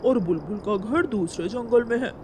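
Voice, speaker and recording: A woman's voice: mid-range voice, moderate pace, noisy background, natural voice. A woman voicing a helpful, courteous crow neighbour in a cartoon, speaking with concern. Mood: kindly.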